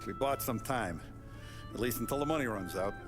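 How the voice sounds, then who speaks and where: An actor playing a shrewd middle-aged American mob boss in a game cutscene, speaking tersely and with authority. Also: male voice, mid-range voice, expressive, a little fast, natural voice. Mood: weary, authoritative. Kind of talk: video game cutscene acting. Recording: music behind the voice.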